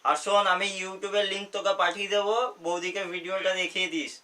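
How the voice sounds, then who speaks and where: A man's voice: high-pitched, level tone, quick pace, sharp tone. A young man, chatting casually as a prank-call vlogger. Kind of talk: casual chat. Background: background music.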